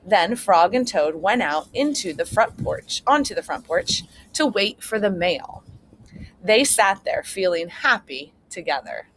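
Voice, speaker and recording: A woman reading a story aloud to children like a teacher, pausing to explain things simply and check in with her listeners. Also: mid-range voice, animated, fairly bright tone, a little hiss or hum, poor audio. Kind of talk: read-aloud storytelling with asides to young listeners. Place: outdoors on a front porch. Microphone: computer propped on a chair.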